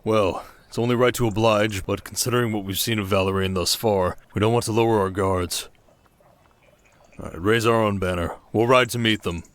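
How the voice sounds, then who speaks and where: A protective desert king from an ASMR fantasy drama, acted by a man. His voice is low, steady and measured. He speaks intimately, as a devoted husband would to his queen. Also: close to an everyday voice. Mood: guarded. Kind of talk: ASMR audio roleplay drama.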